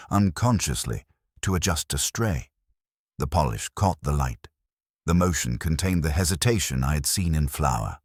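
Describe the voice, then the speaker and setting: A man reading a first-person detective story as a scripted narration, measured and deliberate in short literary phrases. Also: sits low, slightly rough, no room sound, clean studio sound. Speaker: audiobook narrator.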